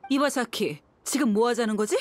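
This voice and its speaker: A woman's voice: a little high, fairly quick, close to an everyday voice. An actress playing a confident, sardonic young female mercenary in a video game, cool and taunting. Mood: cool suspicion. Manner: cool, taunting, self-assured. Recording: music behind the voice.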